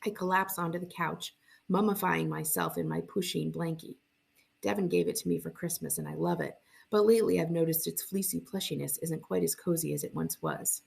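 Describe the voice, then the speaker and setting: A woman narrates a first-person storybook aloud. Her pitch is on the low side, with very heavy creak. The reading is expressive, in a librarian's read-aloud style. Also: brisk.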